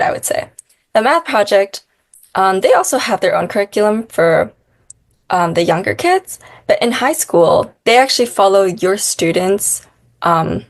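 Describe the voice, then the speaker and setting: Young woman tutor, chatty filler-heavy talk. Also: mid-range voice. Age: young adult. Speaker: math tutor. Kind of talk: casual interview answers.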